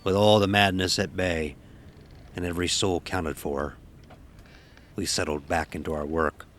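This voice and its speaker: A man's voice: sits low, fairly even intonation, a little slow, fairly bright tone, slight background noise. A man narrating a scripted horror story as an old-time sailor, grave and dramatic, with archaic nautical diction.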